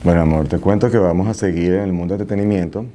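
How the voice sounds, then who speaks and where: Fast-talking, low-voiced Venezuelan man. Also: steady tone, warm tone. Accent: Venezuelan Spanish. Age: middle-aged. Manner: repeats phrases for emphasis. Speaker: interview guest, nightclub entrepreneur. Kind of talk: casual interview talk. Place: small indoor TV studio.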